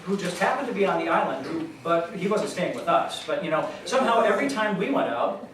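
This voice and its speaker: A man's voice: high voice, sing-song, a little fast, noisy background. Easygoing, muddled husband and dad in his sixties, played by a male actor, conversational stage reading, distant echoey hall sound. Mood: chatty, amiable. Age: 60s. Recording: echoey hall, recorded from far off.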